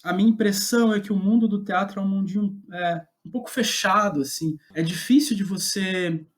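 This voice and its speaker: A man's voice: high voice, brisk. A man with a Brazilian accent speaking casually as an interview guest, recounting his own career in a self-deprecating, chuckling way. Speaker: guest playwright.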